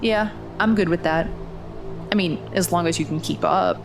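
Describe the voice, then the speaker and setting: A woman's voice: on the low side, normal speed, vocal fry, over music. A woman playing a determined, wary young runner in a naturalistic audio drama, with a dry, skeptical, conversational manner. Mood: teasing.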